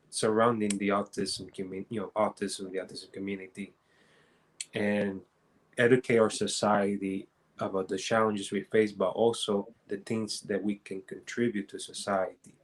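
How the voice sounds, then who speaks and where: A man from Rico, giving an earnest, halting, unscripted answer as an advocate on a panel. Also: on the low side, little pitch movement. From Puerto Rico.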